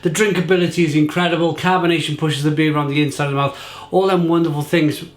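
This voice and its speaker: An enthusiastic man reviewing a beer to camera, rambling and repeating himself, slightly croaky. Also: on the high side, steady tone, fast, roomy sound. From England. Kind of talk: casual tasting review to camera.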